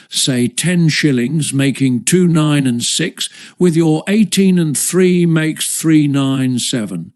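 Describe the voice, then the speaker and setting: A man's voice: a little high, darkish tone. A man voicing a fussy, anxious father who mutters sums aloud and breaks off to scold. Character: fussy, calculating father, anxious and easily interrupted.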